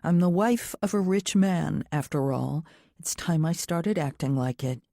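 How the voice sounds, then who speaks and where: A woman's voice: low voice, measured pace, gravelly, warm tone, no echo, studio quality. A woman reading a first-person thriller audiobook as scripted narration in the present tense.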